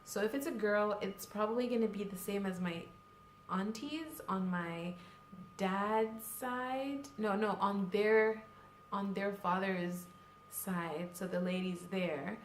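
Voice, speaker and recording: A woman's voice: mid-range voice, takes it slowly, slight background noise. Young woman from Cameroon, casual rambling vlogger, echoey room. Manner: chatty, lots of fillers like "like" and "you know". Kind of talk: casual vlog chat.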